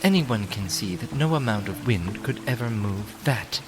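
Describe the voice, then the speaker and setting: A man playing a scornful, sceptical elf adventurer, flat and dismissive, in a dark fantasy audio drama. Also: fairly low pitch, moderate pace, over music, close to an everyday voice.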